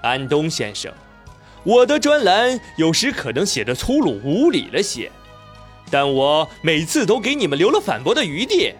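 A man playing a polite but defiant young investigative journalist in a radio drama, measured and argumentative. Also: a little high, wildly swooping pitch. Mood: polite, challenging. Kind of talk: Chinese radio drama acting. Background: music.

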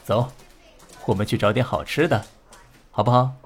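A man's voice: mid-range voice, normal speed, soft background music, natural voice. A man playing a friendly, indulgent uncle who plays along with small children in an audio drama. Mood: playful, indulgent. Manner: playful, indulgent.

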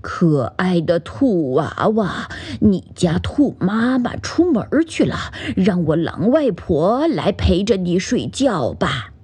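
A woman voicing a sly big gray wolf who pretends to be a grandma, speaking in a hushed, slow, coaxing way. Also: on the low side, sing-song, husky, no echo, voice pushed down, full character voice. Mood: wheedling.

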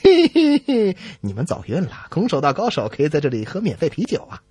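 A man's voice: fairly high pitch, huge pitch swings, brisk, heavy background noise. A man playing a cocky, smugly threatening bar customer in a comedy radio sketch. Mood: gleeful smugness. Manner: smug, boastful.

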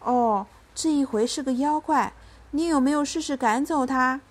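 A woman's voice: sits high, normal speed, a little hiss or hum, voice pushed up, natural voice. A woman voicing a young mother in a children's storybook, tender with her child and fiercely protective, by turns coaxing and commanding. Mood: puzzled, weary.

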